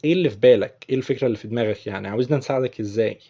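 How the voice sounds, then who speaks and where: A man with an Egyptian accent teaching English, patiently repeating film lines slowly before explaining them. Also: mid-range voice, warm tone, highs cut off. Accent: Egyptian Arabic.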